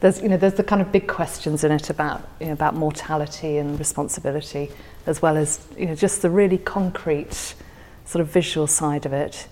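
A woman's voice: low-pitched, steady tone, a little fast, hardly any pauses, a little mellow, long reverb tail. A woman talking reflectively about poetry as an interview guest, thoughtfully repeating words as she goes. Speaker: podcast guest discussing a favourite poem.

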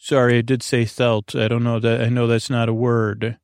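A man with a gravelly, creaky voice at a middling pitch, recorded dry and close. He hosts a bedtime podcast, talking straight to the listener in a whimsical, wandering monologue. His sentences trail into fragments and hesitant detours. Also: level tone, moderate pace, darkish tone, no room sound. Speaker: host of a bedtime sleep podcast. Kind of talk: rambling, off-topic monologue to the listener.

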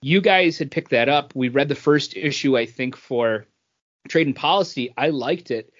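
A man's voice: mid-range voice, normal speed. Man chatting, dull lo-fi sound. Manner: enthusiastic, wordy fan talk. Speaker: comic book podcast co-host. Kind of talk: casual chat.

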